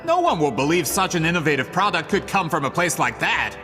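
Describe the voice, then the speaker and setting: A man's voice: on the high side, expressive, fairly quick, over music, lightly acted. An actor voicing an arrogant, greedy businessman villain in a video game, grandiose and scornful in manner. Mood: pleading, scheming. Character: scheming, arrogant printing-industry businessman, greedy and theatrical villain. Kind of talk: scripted video game voice acting.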